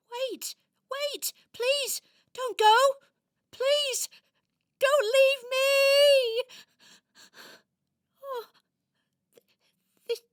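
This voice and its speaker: Woman acting a high-pitched, frightened little lost doll. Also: dry recording, clean studio sound. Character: small lost toy doll, frightened and pleading. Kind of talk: children's radio play acting. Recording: music behind the voice.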